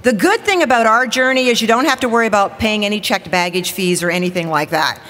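A woman's voice: medium pitch, quick pace, a bit bright. A woman in her forties, a TV weather reporter, giving an upbeat formal welcome speech from a lectern on stage and rallying the audience. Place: large hall, at a lectern on stage. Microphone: lectern microphone. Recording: long hall reverb.

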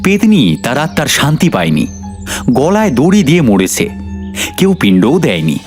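A man's voice: medium pitch, wildly swooping pitch, moderate pace, faint music behind. A man narrating a cartoon folk tale in a dramatic storytelling style.

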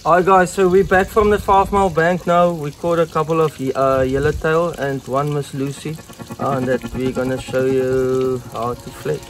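A man speaking casually to the camera outdoors by the sea, relaxed and a little hesitant. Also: on the high side, moderate pace, a little hiss or hum. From South Africa. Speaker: fishing companion.

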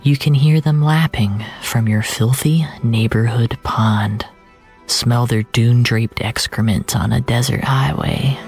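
A narrator delivering a scripted, surreal audio drama in a measured, dramatic way, often sinking into a breathy near-whisper. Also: mid-range voice, a little mellow, soft background music.

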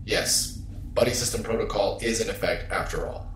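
A man acts a wary male spaceship companion in a sci-fi audio drama. His low voice is terse and dryly deadpan. It rings in an echoey space with slight noise. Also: normal speed, natural voice. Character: dry, cautious male companion aboard a spaceship.

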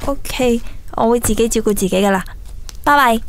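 An actress in her twenties playing an earnest, apologetic, lovestruck young woman in a radio drama, chatty and expressive. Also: a little high, moderate pace, close to an everyday voice. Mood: cheerful. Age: 20s. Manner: chatty, self-chiding, expressive. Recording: background music under studio drama dialogue.

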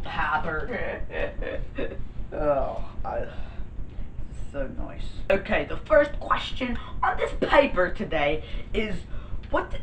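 A man's voice: sits high, a bit bright, slight background noise, full character voice. A teenage boy playing a silly, pompous celebrity chef with a put-on fake accent, giggling at his own jokes. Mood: goofy. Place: bedroom with gaming desk. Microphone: far camera. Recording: echoey room from far off.

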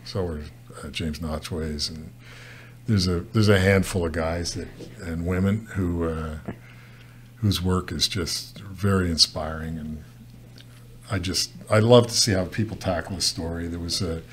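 Deep-voiced older Canadian man, rambling. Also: steady tone, unhurried, a little hiss or hum. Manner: rambling, frequent restarts and fillers. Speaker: guest photojournalist. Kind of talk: casual interview talk. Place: studio set with brick wall. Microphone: desk mic on stand.

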